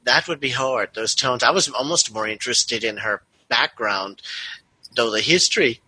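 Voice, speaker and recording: Bright, husky-voiced man in a book discussion. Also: medium pitch, moderate pace, dry recording, low-quality recording. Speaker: book club member. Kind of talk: casual group discussion.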